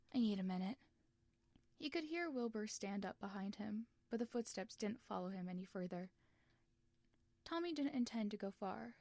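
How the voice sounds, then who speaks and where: A woman's voice: medium pitch, darkish tone, dry recording. A woman narrating fan fiction expressively from a script, as in an audiobook.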